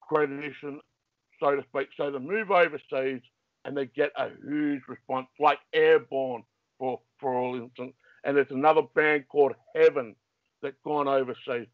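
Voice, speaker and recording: A man's voice: a little high, no room sound, dull audio. A man with an Australian accent hosting an interview show, asking long, rambling, enthusiastic questions. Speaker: podcast host.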